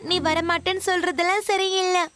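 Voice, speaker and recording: A woman's voice: very high-pitched, little pitch movement, fairly quick. A woman voicing a young, playful, headstrong female calf in a children's cartoon, childlike and eager. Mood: insistent.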